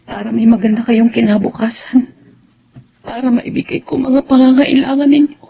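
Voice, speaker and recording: An actress playing a humble, self-sacrificing widowed nanny and mother, gentle and earnest, with a Filipino accent in a radio drama. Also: a little high, gravelly, slightly rough, muffled recording. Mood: remorseful, pleading.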